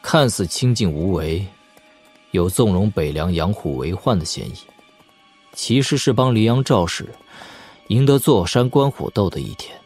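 An actor playing a shrewd, sardonic young lord in a martial-arts audio drama, expounding wryly at length. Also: male voice, low voice, animated, normal speed, a little mellow, husky. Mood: measured, musing. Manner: wry, expounding at length, with snorts and sighs. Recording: music behind. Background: background music.

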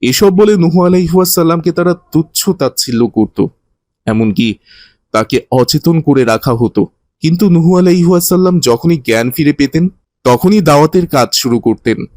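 A man's voice: on the high side, expressive, moderate pace, a little mellow, lightly acted. A man playing a kindly, didactic teacher who tells moral religious stories to children in a cartoon. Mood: earnest, instructive. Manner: didactic, earnest.

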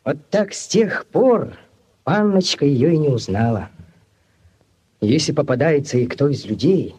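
A young Cossack villager in love, with a high, swooping voice. He speaks theatrically and expressively, as in a radio play of the 1940s, on a vintage recording. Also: mellow, breathy edge. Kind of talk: 1940s Soviet radio drama acting.

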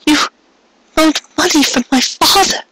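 High breaking voice, frightened tearful pony mare, storybook drama, acted by a man, bright close sound. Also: measured pace, dry recording, exaggerated voice, rough recording. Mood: tearful, pleading. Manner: breaking, pleading delivery. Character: distraught, tearful young mare pony, frightened for her lover.

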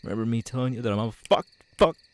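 A man acting a twitchy, unstable young man with tics in an ASMR roleplay, halting and stammering, menacing yet apologetic. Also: mid-range voice, no echo, lightly acted. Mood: agitated. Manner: halting, stammering, broken by sudden shouted outbursts. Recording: night crickets, steady background noise.

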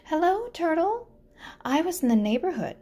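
A woman's voice: very high voice, sing-song, moderate pace, warm tone, voice pushed up. Woman reading as a prim, sly storybook spider. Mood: friendly. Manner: polite, prim, throat-clearing. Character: sly, greedy, fussy male spider trickster. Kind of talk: children's storybook read-aloud.

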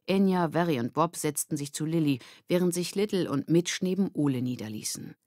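A woman's voice: deep voice, lively intonation, a little fast, a little mellow, dry recording, clean studio sound. A woman narrating a scripted children's story as an audiobook reader.

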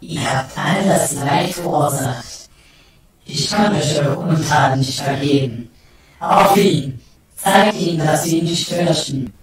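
A man's voice: fairly high pitch, slow. A man playing a defiant, commanding king who declaims to rally his army in a fantasy audio drama, his voice drenched in echo. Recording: heavy echo, distant, crowd behind.

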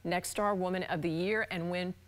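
American female news presenter, lowish pitch, studio delivery and desk talk. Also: fairly even intonation, normal speed.

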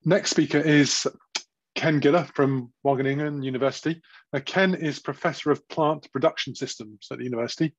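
A man's voice: fairly high pitch, fairly quick, no echo. A middle-aged man chairing a panel on a video call, formally introducing the next speaker. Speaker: chair, host. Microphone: video call with earbuds. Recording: dry, dull lo-fi call audio.

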